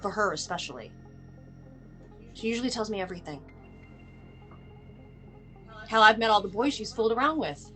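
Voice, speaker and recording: A woman's voice: medium pitch, expressive, moderate pace, long pauses, faint music behind. A woman playing a frustrated, worried mother who bluntly demands help from the police, in a film table read. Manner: blunt, exasperated, sharp-tongued.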